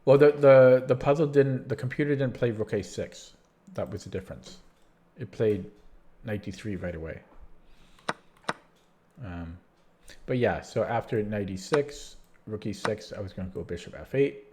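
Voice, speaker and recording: A man's voice: on the low side, normal speed, warm tone, a little hiss or hum. Male streamer, late-night, fatigued and rambling, voice fading. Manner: tired, rambling, many restarts and fillers. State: losing his voice. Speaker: streamer solving chess puzzles. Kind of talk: casual live-stream chat.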